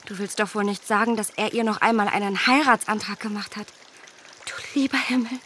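Woman acting a spirited young gentlewoman, expressive. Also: medium pitch, fairly quick, a little hiss or hum, natural voice. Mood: astonished, emphatic. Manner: expressive, conversational, emphatic. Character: spirited, self-assured young English gentlewoman, warmly playful. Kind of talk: German radio play acting of period novel.

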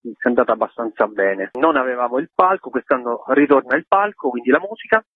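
Guy on a tinny phone line, raspy voice, rambly casual radio chat. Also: medium pitch, lively intonation, brisk. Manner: informal, rambling, loosely strung phrases. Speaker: festival organizer interviewed. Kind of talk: casual conversational radio interview.

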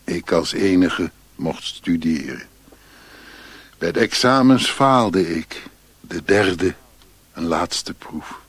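A man playing a weary failed Chinese scholar who recounts his life in a radio drama monologue, measured and husky. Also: low voice, lively intonation, no echo, exaggerated voice. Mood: resigned, sombre.

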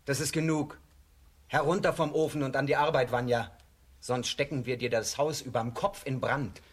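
An actor playing an impatient, threatening peasant son in a children's radio play, with a blunt, scolding manner. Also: male voice, mid-range voice, steady tone, moderate pace, slightly rough, close to an everyday voice. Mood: angry, threatening.

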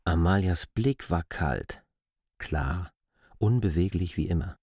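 Deep, mellow man narrating a literary drama. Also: slow, breathy edge, no echo, clean studio sound.

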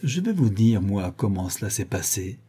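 A man voicing a stern, sharp-witted middle-aged woman who questions two servants and accuses one of them. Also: medium pitch, moderate pace, husky, close to an everyday voice. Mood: probing. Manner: cold, probing, relentlessly accusing.

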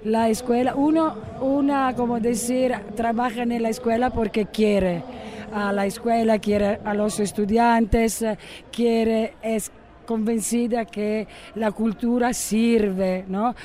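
A woman from Italy with an Italian accent, a high school teacher, talking hesitantly and searching for Spanish words. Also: steady tone, takes it slowly, warm tone. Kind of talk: street interview.

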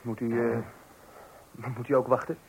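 A man's voice: mid-range voice, flat delivery, normal speed, vocal fry, darkish tone, breathy edge, poor audio. An actor playing a nervous first-time expectant father in a Dutch radio play, hesitant and stammering. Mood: jittery. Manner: hesitant, stammering, repeats himself. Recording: old radio play with faint hiss.

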